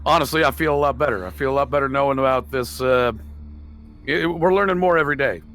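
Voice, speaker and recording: A man's voice: mid-range voice, fast, a bit bright, soft background music. A man playing a tabletop roleplaying game, talking casually with the group.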